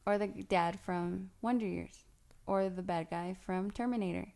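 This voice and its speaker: Playful woman with a smooth voice. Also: medium pitch, fairly even intonation, unhurried, darkish tone. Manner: playful, teasing, laughs easily. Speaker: podcast co-host. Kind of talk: casual chat.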